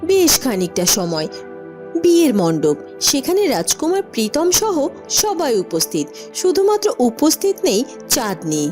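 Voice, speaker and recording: A woman's voice: high voice, huge pitch swings, normal speed, a little mellow, soft background music. A woman narrator reading a scripted fairy tale story for a cartoon.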